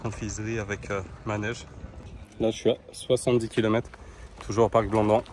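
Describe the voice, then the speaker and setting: A man's voice: fairly low pitch, flat delivery, brisk, a little mellow. Chatty man vlogging outdoors on a scooter. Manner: chatty, addresses viewers directly, fillers and asides. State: strained from exertion. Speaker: vlogger, coach and nutritionist. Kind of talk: casual vlog commentary while riding a kick scooter. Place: outdoors in a city, parks and streets. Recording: noisy outdoor street sound. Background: outdoor city ambience.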